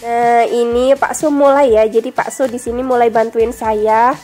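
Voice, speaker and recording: Young woman, nasal from a cold, bright chatty vlog voice-over, light music under it. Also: a little high, steady tone, normal speed. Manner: chatty, friendly, addresses viewers directly. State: stuffy nose from a cold. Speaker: vlogger. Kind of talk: casual voice-over narration.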